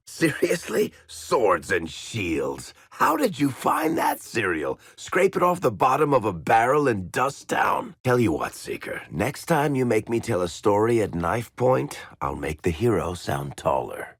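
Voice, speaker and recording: An actor playing a wry, roguish middle-aged storyteller in a video game, with a gravelly, husky American voice and a sardonic, teasing delivery. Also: male voice, mid-range voice, no echo, clean studio sound, heavily acted. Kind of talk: video game party banter.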